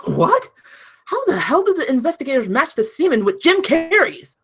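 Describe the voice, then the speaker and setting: A woman's voice: high voice, sing-song, normal speed. A woman voicing a stern, indignant judge losing all patience in a comedy script table read. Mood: exasperated. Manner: indignant, scolding.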